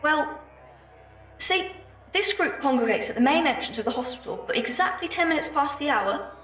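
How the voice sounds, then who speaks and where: A woman playing a very high-voiced plucky street girl. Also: animated, moderate pace, a bit bright, recorded from a distance. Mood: eager, explaining. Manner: cheeky, colloquial, keen. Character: plucky, sharp-witted young street girl, eager to prove herself. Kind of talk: audio drama acting. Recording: echoey room, off mic, faint noise.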